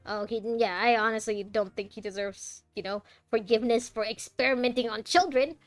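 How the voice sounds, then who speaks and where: A woman's voice: on the high side, a little fast, no echo. A woman streaming a game with casual, chatty commentary, reacting aloud to the characters. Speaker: gaming streamer. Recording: game music faintly behind.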